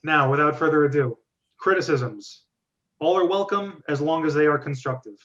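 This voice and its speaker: A man hosting a group discussion, giving feedback in a wordy, measured, organized way. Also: a little high, a bit bright, highs cut off. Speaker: host of a script reading club.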